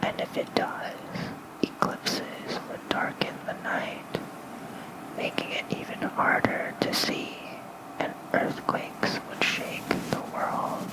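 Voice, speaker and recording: An ASMR host reading lore as a bedtime story in a soft, breathy whisper, gentle and soothing, close to a fluffy microphone. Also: poor audio. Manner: slow, gentle, soothing delivery. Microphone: close fluffy-covered microphone.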